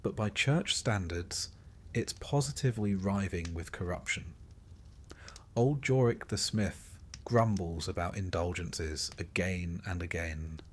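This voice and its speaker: A man reading a scripted history sleep story as narrator, in a measured way with dry, wry understatement. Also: deep voice, slightly rough.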